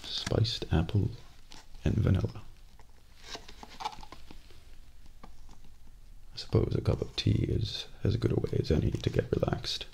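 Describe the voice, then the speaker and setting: A man playing a composed, guarded professional assassin in a soft-spoken ASMR role play, with a measured, deadpan delivery and a breathy, gravelly voice. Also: low voice, a little hiss or hum, full character voice. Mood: calm, mild. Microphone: close binaural microphone.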